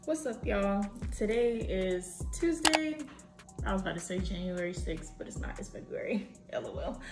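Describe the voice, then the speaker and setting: A young woman in her twenties chatting casually to camera in a home kitchen, slangy and animated as she cooks. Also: mid-range voice, moderate pace, echoey room, loud music behind the voice. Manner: chatty, slangy, animated, talks while cooking. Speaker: vlogger. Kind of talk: casual vlog chat to camera. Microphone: camera on a tripod at a distance.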